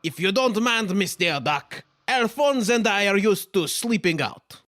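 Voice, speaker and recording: Man doing a high, sneaky cartoon henchman. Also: lively intonation, measured pace, fairly bright tone. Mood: falsely friendly. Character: sneaky male crook, smirking henchman posing as trapper. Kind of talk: comic dub cartoon acting.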